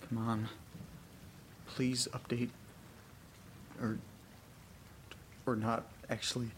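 An actor playing an anxious young man alone in a creepy house, talking haltingly to himself in a horror audio drama. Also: medium pitch, flat delivery, noisy background. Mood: uneasy. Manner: halting, muttering to himself, swearing. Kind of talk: horror audio drama, first-person monologue.

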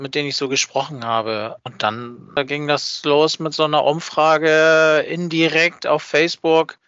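A man talking casually on a podcast, haltingly, repeating words as he searches for the right phrasing. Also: a little high, bright, forceful tone, clean tone, no room sound. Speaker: podcast speaker. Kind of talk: casual chat, recounting how a fan project began. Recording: slightly dull, dry voice recording.

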